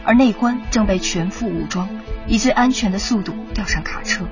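A woman's voice: mid-range voice, moderate pace. A woman narrating a radio documentary in a dramatic, scripted style. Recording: slightly muffled, music underneath.

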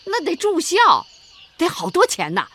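A woman's voice: extremely high pitch, huge pitch swings, unhurried, a bit bright, a little hiss or hum, exaggerated voice. An actress in a radio drama playing a caring, fussing middle-aged farm wife and mother, homely in manner. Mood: worried. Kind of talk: Chinese radio drama acting. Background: music.

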